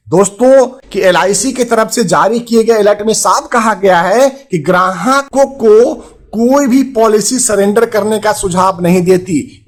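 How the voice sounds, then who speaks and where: A man with an Indian accent hosting a finance advice channel, addressing viewers formally and emphatically, repeating phrases for stress. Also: high voice. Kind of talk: presenter addressing viewers with a consumer alert.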